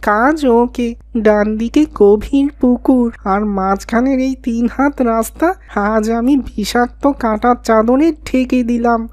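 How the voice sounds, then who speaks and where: A woman acts out a spiteful young schemer from a cartoon. The voice sits high and moves slowly, with theatrical relish. The sound is very dry and close. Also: darkish tone, no echo. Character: spiteful scheming boy, Mahadi, gloating then wailing. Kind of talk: cartoon voice acting.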